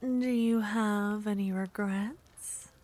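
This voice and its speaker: A woman voicing a seductive, possessive witch, speaking softly in half whispers with a teasing manner in an ASMR roleplay. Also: medium pitch, fairly even intonation, takes it slowly.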